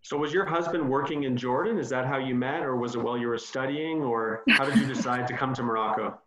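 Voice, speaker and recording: A man's voice: mid-range voice, quick pace, a bit bright. Easygoing male podcast host, roomy lo-fi sound. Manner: easygoing, laughs along. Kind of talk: interview hosting.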